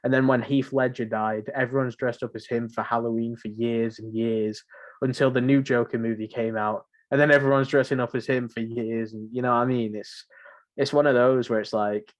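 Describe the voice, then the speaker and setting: A man's voice: mid-range voice, fairly even intonation, normal speed. A man in casual podcast conversation about entertainment, speaking informally and giving his opinions freely. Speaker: podcast host. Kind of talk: casual film podcast chat.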